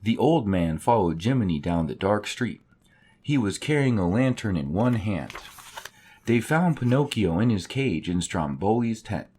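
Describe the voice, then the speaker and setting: A man's voice: on the low side, lively intonation, unhurried, warm tone, slightly rough. A man narrating a children's story as a storybook reader.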